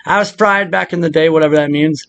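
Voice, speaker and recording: A man with a noticeably high-pitched voice hosts a casual video tutorial. He rambles in a loose, unscripted way, drifting into muttered asides. His delivery is crude and joking, with plenty of profanity. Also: quick pace, a bit bright, dry recording.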